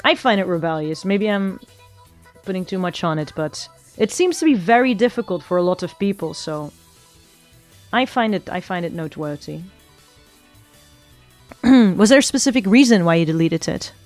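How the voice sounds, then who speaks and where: A woman's voice: on the low side, animated, normal speed, pauses often, a little mellow, faint music behind. Rambling woman streamer. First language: Flemish Dutch. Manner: rambling, thinking aloud with frequent self-corrections. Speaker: virtual streamer. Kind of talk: casual chat while drawing, answering chat.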